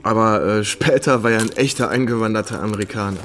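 A man acting an easygoing army captain with a fairly low voice. He talks courteously and conversationally, as in a natural radio play, with faint music behind him. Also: quick pace, fairly bright tone, close to an everyday voice. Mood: confiding.

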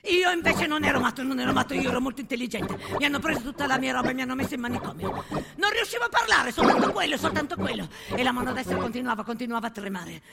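A woman's voice: high voice, animated, fast talker, gravelly, heavy background noise. A woman playing an agitated, defiant shell-shocked male soldier who stammers and repeats himself in a theatrical war monologue. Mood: frantic, bitter.

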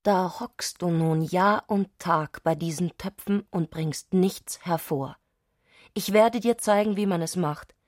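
A woman's voice: on the low side, takes it slowly, no room sound. A woman playing an agitated, scornful wife who goads her painter husband insistently in a radio drama. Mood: scornful, challenging. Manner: reproachful, insistent.

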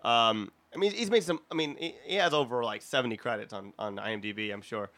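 A man's voice: mid-range voice, quick pace, a bit bright, no echo. A man co-hosting a podcast in casual group chat, speaking emphatically with opinions and plenty of swearing. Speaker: podcast co-host.

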